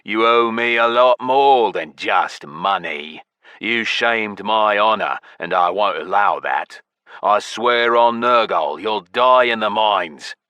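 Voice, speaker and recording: A man voicing a gruff, menacing male centurion who growls and hisses his threats, in an audiobook. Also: on the low side, animated, a little slow, pushed and bright, dry recording.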